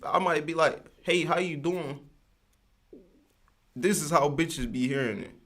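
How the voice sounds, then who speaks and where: A man's voice: on the high side, a little slow, fairly bright tone. A man hosting a livestream in casual, rambling slang with lots of fillers. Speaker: livestream host.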